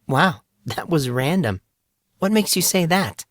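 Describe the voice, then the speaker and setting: A man's voice: on the high side, expressive, measured pace, a bit bright, dry recording, studio quality, voice pushed up. A man voicing a poised, teasing young woman celebrity in a narrated fantasy story. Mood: surprised.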